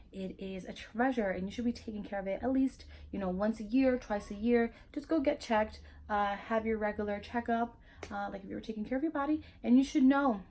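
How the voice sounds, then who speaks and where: A young woman with a Spanish accent chats straight to camera in a loose, frank way. She curses easily, fills pauses with "um" and "like," and restarts her sentences as she goes. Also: medium pitch, darkish tone. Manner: frank and chatty, swears, many fillers and restarts. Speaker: vlogger. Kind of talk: casual educational monologue.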